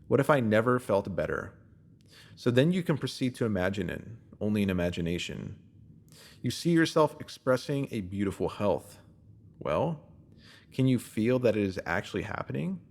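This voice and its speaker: A man reading a scripted self-help spiritual piece as narrator, in a measured, instructive way that addresses the listener directly. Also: fairly low pitch, very animated, creaky, darkish tone.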